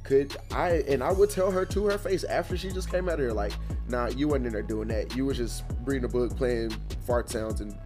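A podcast host, slightly hoarse, talking in an animated, joking way. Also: male voice, mid-range voice, brisk, few pauses, over music. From Houston, Texas. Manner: animated, laughing storyteller. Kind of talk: casual chat.

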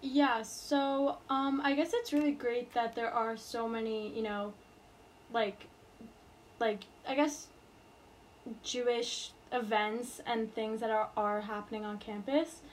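Higher-voiced young woman, hesitant and chatty. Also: little pitch movement, slow pace, slight background noise, poor audio. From New York. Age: college student. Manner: hesitant, many fillers and restarts. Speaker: interviewee. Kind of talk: casual interview.

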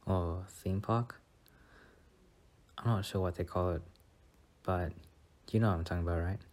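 A young man playing a shy, sweet student boyfriend on a first date in a soft-spoken ASMR roleplay, speaking in a hesitant, bashful way. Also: low-pitched, steady tone, normal speed, lightly acted. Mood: shy, hopeful.

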